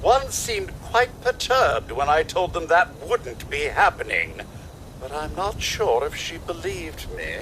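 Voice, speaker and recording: Genteel robot butler, high-pitched, very animated delivery, formal wording, kindly, fretful, video game dialogue, faint background noise. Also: male voice, slow. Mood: genteel, measured. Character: polite, fussy male robot butler, kindly and worried.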